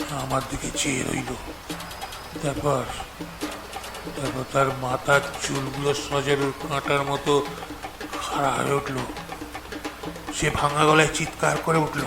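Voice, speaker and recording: Slow, suspenseful male narrator with echo. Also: medium pitch, gravelly. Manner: measured, suspenseful with dramatic pauses. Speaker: story narrator. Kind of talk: dramatic suspense audiobook narration.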